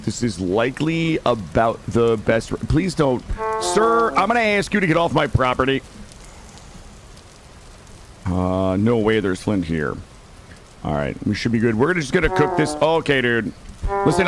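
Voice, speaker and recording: A man livestreaming a game, chatting casually and playfully with some mild swearing, his voice slightly gravelly and not yet warmed up. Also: mid-range voice, a little hiss or hum. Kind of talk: casual gaming livestream commentary and chat.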